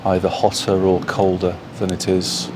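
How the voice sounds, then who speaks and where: Very deep-voiced man, measured. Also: completely flat delivery, noisy background. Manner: measured, frequent "um" pauses. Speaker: office worker. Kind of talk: unscripted interview answer.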